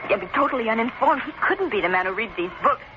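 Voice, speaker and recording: A woman's voice: a little high, lively intonation, quick pace, close to an everyday voice. An actress playing a frightened, distrustful woman in an old-time radio thriller, speaking in an urgent, guarded, faltering way. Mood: fearful, urgent. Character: frightened, sharp-witted, distrustful married woman. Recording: muffled, very noisy old radio recording.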